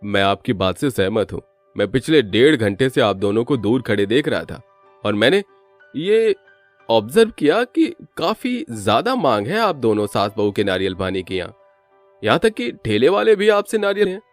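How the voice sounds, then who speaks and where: A man's voice: medium pitch, sing-song, moderate pace, faint music behind, close to an everyday voice. A man voicing a courteous, inquisitive male reporter in a story, with polite, formal questioning. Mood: earnest, admiring.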